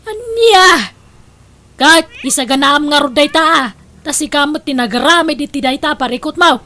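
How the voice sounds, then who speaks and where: A woman's voice: sits high, normal speed, bright, forceful tone, slight background noise. A woman playing an exasperated, scolding middle-aged mother of a grown son in an audio drama.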